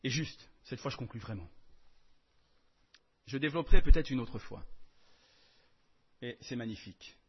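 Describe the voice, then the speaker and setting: A man preaching a sermon in French, emphatic, swinging between loud declarations and soft asides. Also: medium pitch, moderate pace, long pauses, husky, lo-fi sound.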